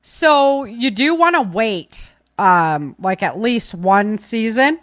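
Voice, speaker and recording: A woman's voice: a little high, lively intonation, slow, fairly bright tone, no echo. Casual, folksy woman podcast host, dry sound. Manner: folksy, informal, rambling. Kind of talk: casual podcast chat, giving practical tips.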